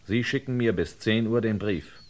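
Man playing a deep-voiced, wary, determined man. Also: moderate pace, close to an everyday voice. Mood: firm, bargaining. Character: wary, determined man bargaining and probing an old murder. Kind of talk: German radio crime drama acting.

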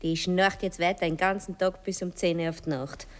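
An actress playing a sleepy, carefree young streetwalker with a Viennese accent, offhand and pert in a 1960s radio play. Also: female voice, on the low side, fairly even intonation, moderate pace, natural voice. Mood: matter-of-fact, chatty. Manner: drowsy, offhand, matter-of-fact.

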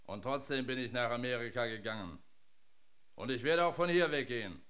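An actor playing a blunt, independent hired worker in a German radio drama, speaking firmly and matter-of-factly. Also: male voice, mid-range voice, expressive, brisk, a bit bright, close to an everyday voice. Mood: blunt, resolute. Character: blunt, independent male hired worker, unimpressed by his master.

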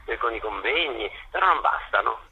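A man's voice: medium pitch, expressive, fairly quick, vocal fry, slightly rough, phone-quality sound. A middle-aged man, talking conversationally in an interview and telling stories with rhetorical questions and acted-out quotes. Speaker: interviewed theatre actor and director.

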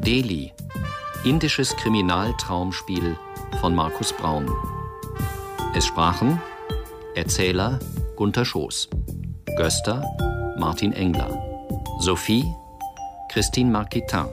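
A man's voice: low-pitched, expressive, slow, long pauses. A man reading scripted radio play credits as an announcer. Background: loud music.

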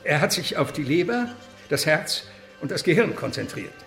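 Learned policeman, animated delivery. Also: a little high, normal speed, gravelly, soft background music, exaggerated voice. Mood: factual. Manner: erudite, explanatory. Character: learned, precise male police officer. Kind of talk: radio drama acting. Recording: echoey room.

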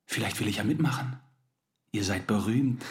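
A woman playing a slouchy, nosy young flatmate in a radio drama, casual and needling. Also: extremely low pitch, normal speed, slight background noise. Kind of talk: German radio drama acting.

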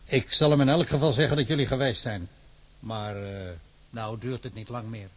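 Man acting a husky, coaxing prison warder. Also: medium pitch, steady tone, moderate pace, close to an everyday voice. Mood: reassuring. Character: patient, coaxing, fatherly male prison warder. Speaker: actor in a radio drama.

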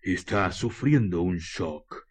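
A man with a Chilean accent playing a calm, grave village priest in a radio drama, slow, halting and paternal. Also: low-pitched, slightly rough, heavily acted. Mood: grave, advising.

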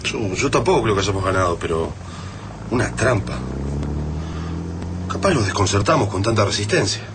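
Casting: man playing earnest Argentine radio-drama survivor, hopeful, lowish voice, noisy setting. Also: expressive, moderate pace, lightly acted. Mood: doubtful, questioning. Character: earnest, stubbornly hopeful male resistance hero.

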